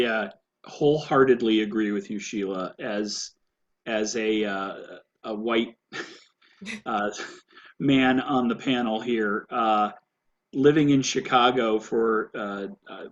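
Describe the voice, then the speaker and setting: An American man telling a personal story earnestly and haltingly as a panelist, over muffled, lo-fi video call audio. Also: mid-range voice, fairly bright tone.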